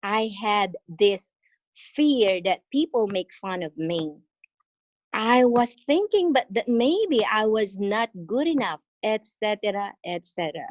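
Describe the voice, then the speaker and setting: A woman from the Philippines with a Filipino accent, an exchange teacher giving an earnest, encouraging talk in an online meeting, pausing often. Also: medium pitch, animated, dry recording, lo-fi sound. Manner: earnest and encouraging, with frequent pauses and fillers. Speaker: exchange teacher sharing her experience. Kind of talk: motivational presentation in an online meeting. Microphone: video call.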